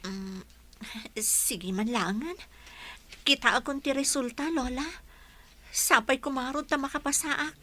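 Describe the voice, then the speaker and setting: A woman plays a hopeful young graduate in a radio drama. Her voice is fairly high, with a bright, forward tone. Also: unhurried, long pauses. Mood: nervous. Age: young adult. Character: hopeful young female college graduate and aspiring teacher. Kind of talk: Ilocano radio drama acting.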